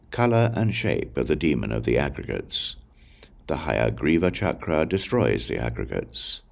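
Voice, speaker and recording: A man reading Buddhist scripture aloud as an audiobook, in measured, solemn short phrases. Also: a bass voice, creaky, darkish tone. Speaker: audiobook reader.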